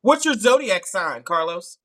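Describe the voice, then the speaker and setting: Male dating show host, bright and edgy tone, teasing and jokey, video call. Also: high voice, sing-song, measured pace. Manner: playful, teasing, laughs often. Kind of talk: live online dating show hosting.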